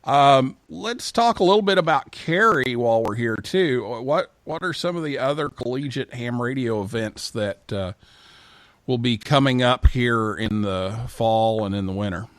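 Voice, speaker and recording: A man's voice: medium pitch, lively intonation, normal speed, no echo. A man hosting a live call-in radio show in a casual, conversational style.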